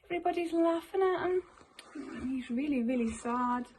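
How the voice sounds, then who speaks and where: A woman with a Scottish accent reading a storybook aloud to children like a primary teacher, expressive and addressing her young listeners. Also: high-pitched, normal speed, slight background noise.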